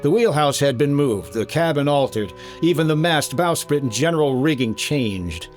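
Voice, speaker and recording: A man plays a cool, tough radio detective of the 1950s with an expressive, moving voice. He is dry and clipped, telling the case dramatically. Faint music runs behind him. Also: a little high, moderate pace, lightly acted. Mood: tense narration. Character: cool, wry, tough male investigator and narrator.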